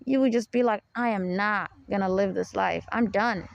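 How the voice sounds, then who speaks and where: A young adult woman hosting a personal podcast, with a low, deep voice and a rambling, confessional way of talking, full of "you know" and soft laughs. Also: normal speed, gravelly. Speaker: podcast host.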